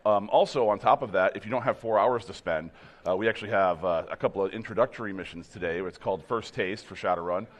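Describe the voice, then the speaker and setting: A hoarse man leading a game demo team, talking casually with many restarts. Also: quick pace. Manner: rambling, many restarts, upbeat pitch. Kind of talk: casual chat.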